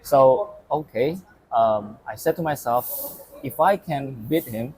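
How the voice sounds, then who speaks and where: A young man speaks in a relaxed interview, pausing often with ums as he recalls events. His pitch is ordinary, with a little background noise in the recording. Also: rough recording. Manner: hesitant, many ums, storytelling. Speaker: former player and assistant coach. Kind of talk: casual interview chat.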